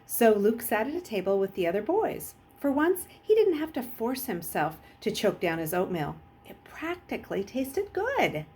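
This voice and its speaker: A woman reading a children's novel aloud as an expressive storyteller. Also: on the high side, moderate pace, few pauses, a little hiss or hum. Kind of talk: expressive audiobook-style narration.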